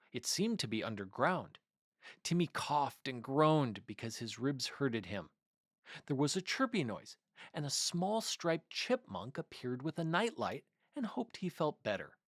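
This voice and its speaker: A man narrating a children's story in an expressive, deliberate storybook delivery. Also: fairly high pitch, no room sound, studio quality. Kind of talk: scripted narration, children's read-aloud.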